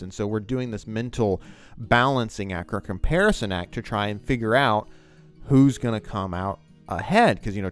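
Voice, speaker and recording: Low-pitched man explaining informally. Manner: rambling, conversational, addressing the viewer. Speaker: video essayist on fiction writing.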